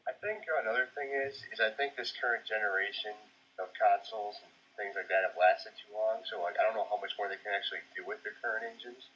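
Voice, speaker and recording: A man's voice: on the low side, steady tone, fast, sharp tone, slightly rough. A man co-hosting a podcast in casual conversation, recorded with dull, lo-fi sound. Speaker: podcast co-host. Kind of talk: casual chat about video games. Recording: muffled, lo-fi voice recording.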